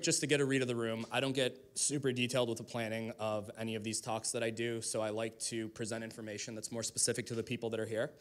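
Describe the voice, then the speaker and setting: Man giving an informal meetup talk. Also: mid-range voice. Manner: casual, explanatory, lightly profane. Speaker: guest speaker, digital marketing strategist. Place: live talk to a group in a room. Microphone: event microphone.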